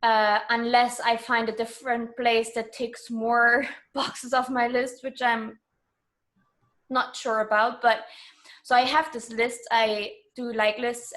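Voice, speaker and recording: Higher-voiced woman from Germany, chatting. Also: a bit bright. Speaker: interview guest. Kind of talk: casual conversational interview.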